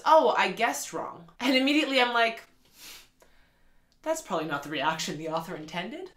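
Bright-voiced woman reviewing books, roomy sound. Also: mid-range voice. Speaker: book reviewer and channel host. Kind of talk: conversational video book review. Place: room lined with bookshelves, indoors. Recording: roomy echo, faint music behind.

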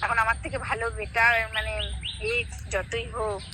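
A woman's voice: on the high side, steady tone, normal speed, pushed and bright, a little hiss or hum. A young woman on a phone call, arguing in a hurt, accusing way. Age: young adult. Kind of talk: emotional personal phone argument. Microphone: phone call played aloud. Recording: phone call with music behind. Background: music.

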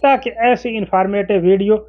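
A man's voice: sits high, brisk, phone-quality sound, a little hiss or hum. A man in his forties explaining phone settings step by step to camera in an instructional manner. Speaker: tech tutorial presenter. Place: small indoor room with desk.

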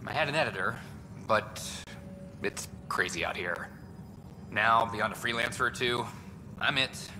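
A weary but eager reporter in a space game, played by a man, pitching his work with quick enthusiasm. A long reverb hangs on his voice, and a clear ambient hum runs behind. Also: expressive, natural voice. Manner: eager, fast-talking, a little weary. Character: tired, eager male news reporter. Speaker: news reporter.

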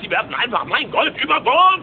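An actor playing an obsessive, vengeful cartoon sea captain in a German audio drama. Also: male voice, extremely high pitch, big pitch swings, a little fast, heavily acted. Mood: furious. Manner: gloating, cackling, obsessive. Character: crazed, gold-obsessed vengeful villainous ship's captain. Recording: muffled, noisy old cassette recording.

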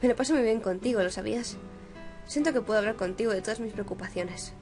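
A dazed young man in a video game as a woman reads him, with a Spain accent. He chats gently and softly, as if to himself, with faint music behind. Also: medium pitch, fast, natural voice. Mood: friendly, absorbed. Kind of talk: reading game dialogue aloud.

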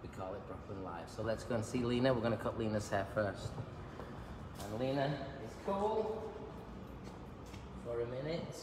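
A man in his fifties giving a live haircut lesson in a big salon, chatty and joking as he works, his voice a little croaky. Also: medium pitch, lively intonation, moderate pace, pauses often. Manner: chatty, joking, teaching as he cuts. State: slightly croaky voice. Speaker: hairdresser and educator. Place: large bright hair salon, indoors. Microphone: phone camera held nearby. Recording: echoey salon room with noise.

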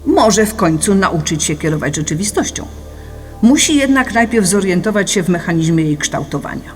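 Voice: medium pitch, lively intonation, moderate pace, close to an everyday voice. A narrator reading a scripted philosophical audiobook in an explanatory, didactic manner. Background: music.

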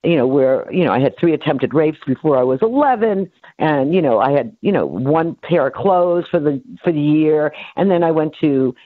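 A woman's voice: sits low, expressive, normal speed. Rambling woman in her seventies, tinny line. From New York City. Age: 70s. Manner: rambling, full of fillers and restarts. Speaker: guest. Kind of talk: casual interview, life story. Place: home office. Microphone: headset on a video call. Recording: tinny phone-like dry sound.

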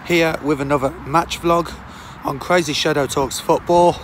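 Hoarse guy vlogging into his phone outside, kinda high voice, lots of uhs. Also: fairly even intonation, moderate pace, slight background noise. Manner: chatty, rambling with many fillers. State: hoarse, croaky from a sore throat. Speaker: football fan vlogger. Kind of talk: casual match-day vlog to camera. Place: outdoors on a city street on a cold day. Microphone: phone held at arm's length.

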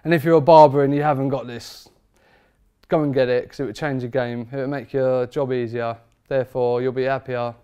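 A man's voice: mid-range voice, fairly even intonation, a little fast, warm tone, smooth. A man, a barber chatting enthusiastically about trimmers in a barber shop. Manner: enthusiastic, emphatic, informal. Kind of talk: product review chat.

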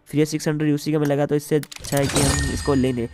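A man's voice: on the high side, flat delivery, quick pace, darkish tone, no echo. A man with an Indian accent hosting a gaming video in a casual commentary style. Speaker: gaming channel host.

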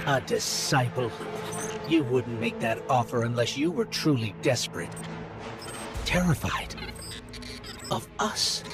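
A man playing a small, earnest, anxious robot companion in a video game, with an urgent, expressive delivery. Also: medium pitch, slow pace, long pauses. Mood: wary, defiant. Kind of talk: dramatic video game voice acting. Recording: voice under loud game music.

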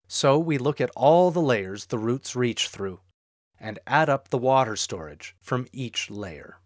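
A man narrates a lesson on soil and irrigation in a steady, explanatory way. His voice sits at a middle pitch. The recording is dry and close, with no room sound. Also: no echo. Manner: clear, steady explanatory delivery. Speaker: narrator of an instructional farm video. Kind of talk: scripted educational narration.

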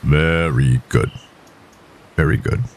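A man voicing a gruff, stern, impatient small-town doctor with formal, precise speech in an audio horror story. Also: very deep voice, takes it slowly, a little hiss or hum, exaggerated voice. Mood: formal, measured.

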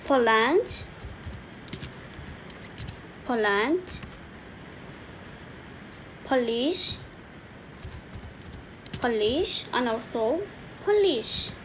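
A woman teaching an English lesson slowly, repeating each word and saying "okay" often, with keyboard typing faintly behind her. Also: fairly high pitch, expressive, a little hiss or hum, rough recording. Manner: slow, repetitive, saying each word twice with frequent "okay". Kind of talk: slow screen-recorded language lesson.

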